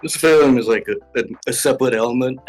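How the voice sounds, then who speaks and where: A man answering casually as an interview guest, halting and repeating short phrases as he goes. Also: medium pitch. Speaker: interview guest, web series creator. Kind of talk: casual chat. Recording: music playing behind. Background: music.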